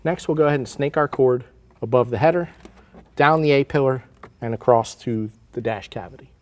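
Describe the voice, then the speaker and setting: American man, forties, medium pitch, casual instructor, step-by-step tutorial delivery, plain-spoken. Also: fairly quick, darkish tone. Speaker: product installation instructor.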